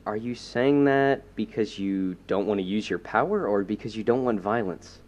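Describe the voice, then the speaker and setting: A man's voice: lowish voice, normal speed, a little mellow, natural voice. A man playing a cocky, reckless supervillain in an audio drama, with a breezy, teasing delivery. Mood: teasing, agreeable.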